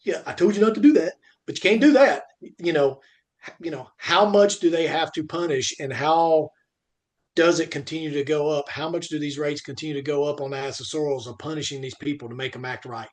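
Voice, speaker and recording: A man in casual podcast conversation about his trade, talking in a halting, emphatic way and often repeating himself. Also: fairly high pitch. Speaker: podcast co-host. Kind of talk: conversational industry podcast talk.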